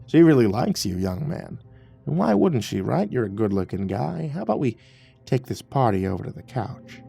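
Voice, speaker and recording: A man's voice: mid-range voice, very animated, normal speed, creaky, dark tone, slightly rough, heavily acted. Casting: man acting out a burly detective, overbearing and volatile, storybook narration with music underneath. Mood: breathy, coaxing. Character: large, pushy, hot-tempered male police detective.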